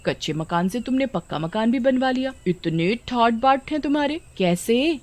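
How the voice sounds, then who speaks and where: A woman voicing expressive, exclamatory village women in a children's cartoon story. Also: on the high side, normal speed, close to an everyday voice. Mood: chatty, curious. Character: village women, eager, chatty and indignant.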